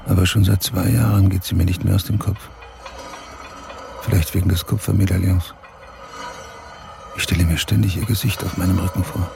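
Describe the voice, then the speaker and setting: A man's voice: low voice, fairly even intonation, normal speed, gravelly, long pauses. An actor playing a taciturn, reflective father haunted by his past in a radio drama monologue. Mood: pensive. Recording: music bed behind voice.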